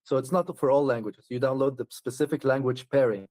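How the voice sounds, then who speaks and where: A man's voice: mid-range voice, brisk, a little mellow, no room sound, clean studio sound. A man chatting casually as an interview guest, often laughing and restarting his sentences. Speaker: traveler guest.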